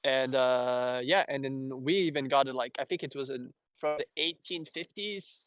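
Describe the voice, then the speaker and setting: A man from Belgium, a native French speaker with an accent to match, hosting a casual fan discussion in a rambling, halting way. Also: mid-range voice, a bit bright, dry recording, highs cut off. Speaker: host.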